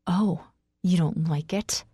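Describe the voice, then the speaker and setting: A woman's voice: lowish voice, unhurried, mellow, slightly rough, no room sound, studio quality. A woman voicing a heartbroken, defiant, fiery woman with raw emotion, as a character in a romance audiobook. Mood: taunting.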